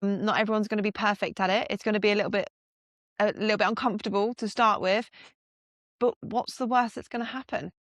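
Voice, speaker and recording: A woman's voice: medium pitch, fairly even intonation, fast talker, fairly bright tone, dry recording, studio quality. A woman co-hosting a business podcast, speaking frankly and upbeat, with casual swearing. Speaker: podcast co-host, fitness business owner.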